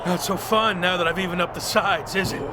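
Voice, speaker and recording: A man's voice: high voice, fairly even intonation, a little fast, background noise, natural voice. An actor playing a defiant, determined young male wrestler who issues challenges. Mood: taunting.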